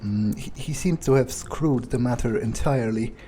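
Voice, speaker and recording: Jaded male vampire for a game monologue played by a man: low-pitched, mellow, sardonic, halting and foul-mouthed, music behind. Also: lightly acted. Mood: irritated, musing. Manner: halting, muttering, profane, sardonic. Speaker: player of a role-playing video game. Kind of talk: in-character gameplay monologue, thinking aloud.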